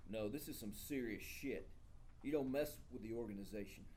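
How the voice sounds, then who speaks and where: A man's voice: mid-range voice, normal speed, darkish tone, a little hiss or hum, lightly acted. A man playing an intense, profane DJ mentor who speaks with emphatic, solemn grandiosity in a comedy table read. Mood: alarmed.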